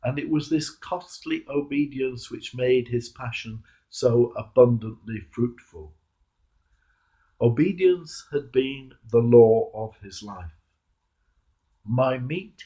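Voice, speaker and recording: A man, a clergyman, reading a devotional sermon in a measured, emphatic manner with rhetorical turns. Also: mid-range voice, warm tone, low-quality recording. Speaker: Anglican clergyman reading a reflection. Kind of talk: devotional sermon, read aloud.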